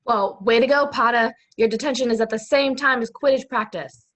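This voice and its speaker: A woman's voice: a little high, brisk, fairly bright tone. A woman playing a bossy, exasperated teenage sports captain, brusque and scolding, in an amateur script read.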